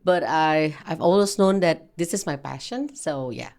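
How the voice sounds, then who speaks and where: A woman in her forties with an Indonesian accent and a fairly deep voice for a woman. English is her second language. She speaks conversationally as an interview guest, agreeable in her manner. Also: animated, normal speed, a little mellow. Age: 40s.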